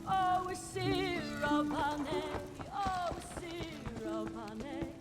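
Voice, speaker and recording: Lovelorn bard in a fantasy game singing a really slow ballad, high voice, loud guitar music. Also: female voice. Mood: adoring.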